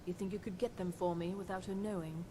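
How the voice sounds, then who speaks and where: A woman's voice: fairly low pitch, fairly even intonation, fast talker, dark tone, big-room sound, a little hiss or hum, close to an everyday voice. A woman voicing a vain, paranoid female wizard in a fantasy video game, haughty and indignant in manner. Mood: scheming, impatient. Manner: haughty, indignant, self-admiring.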